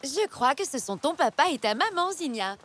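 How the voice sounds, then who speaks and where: A woman voicing a sensible, kindly grown-up female zebra in a French children's cartoon, expressive and reassuring. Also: high voice, moderate pace, loud music behind the voice.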